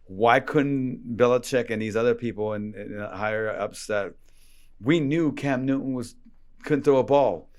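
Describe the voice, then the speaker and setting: A man in casual conversation as a guest, telling stories in an animated way and acting out bits of dialogue. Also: mid-range voice, unhurried, clean tone. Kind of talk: casual chat.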